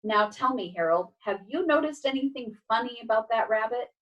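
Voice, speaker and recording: A woman's voice: a little high, expressive, moderate pace, distant mic, muffled recording, close to an everyday voice. A woman voicing a bossy, self-important male cat in a storybook, with a commanding, exasperated and insistent manner. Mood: insistent, conspiratorial.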